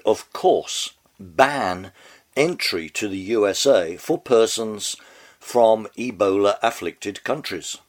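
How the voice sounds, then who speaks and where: Man with a heavy cold, slow and low. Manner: dry, wry and mannered. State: head cold, nearly losing his voice. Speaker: podcast host. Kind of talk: scripted monologue commentary.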